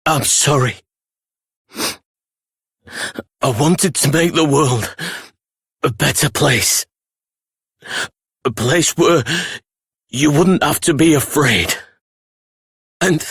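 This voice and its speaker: An actor playing a dying, regretful adventurer father in a video game, speaking weakly in a husky, breathy voice with halting, tender solemnity. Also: on the high side, a bit bright, no echo, studio quality, exaggerated voice. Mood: tearful, loving. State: weak, dying. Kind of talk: video game dramatic voice acting.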